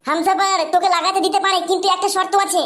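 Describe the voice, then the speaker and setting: Super high squeaky flirty cartoon lady, teasing and bossy, talks quick. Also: little pitch movement, fairly bright tone. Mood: demanding. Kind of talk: comic cartoon dub.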